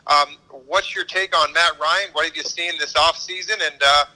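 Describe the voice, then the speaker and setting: Male co-host, fairly high voice, very bright and forceful, informal folksy football chat, phone-like radio sound. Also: fairly even intonation, moderate pace. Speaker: sports show co-host. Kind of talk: conversational sports interview.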